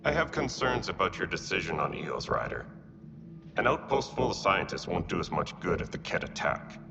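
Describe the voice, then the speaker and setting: A gruff alien security chief, deep and gravelly, dry and watchful. The game audio sounds distant, with noise around it. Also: male voice, very animated, normal speed, heavily acted. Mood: wary. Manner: dry, cautioning. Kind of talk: video game voice acting. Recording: distant, dull, reverberant, noisy game audio.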